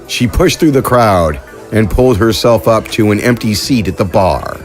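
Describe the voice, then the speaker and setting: A man narrating an audio drama in a steady storytelling delivery. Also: lowish voice, moderate pace, faint music behind.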